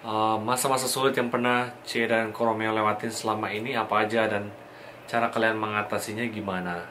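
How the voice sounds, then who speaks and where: A calm young man from Indonesia, the vlogger's husband, reading viewer questions and adding short remarks in casual chat. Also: fairly low pitch, a little fast, slight background noise. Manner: calm, brief interjections.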